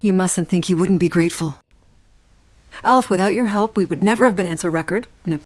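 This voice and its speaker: An actress playing a shaken but composed wife in a crime radio play. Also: female voice, lowish voice, fairly quick, darkish tone, lightly acted.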